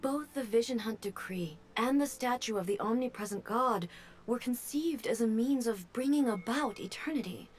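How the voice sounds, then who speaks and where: An actress playing a calm, regal female ruler who speaks in a measured, formal way. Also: fairly high pitch, lightly acted. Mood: reflective.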